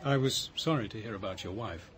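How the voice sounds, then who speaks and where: An actor in a radio drama playing a polite, understated, kindly detective. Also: male voice, on the low side, fairly quick, slight background noise, lightly acted. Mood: reserved. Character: courteous, reserved, kindly male police detective.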